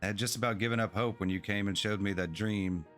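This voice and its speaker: A man reading aloud as an earnest young girl priestess who wants to prove herself, in an even, sincere tone. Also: fairly low pitch, fast, soft background music, natural voice. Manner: reads long dialogue aloud in an even, sincere tone.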